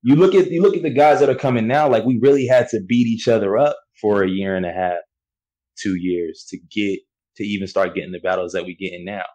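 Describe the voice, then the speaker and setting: A young man with a low voice talks in a loose, slangy way. He keeps dropping "you know what I'm saying" as he tells his story, and his delivery is relaxed and unhurried. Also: poor audio. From United States. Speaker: battle rapper guest. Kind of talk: casual interview chat.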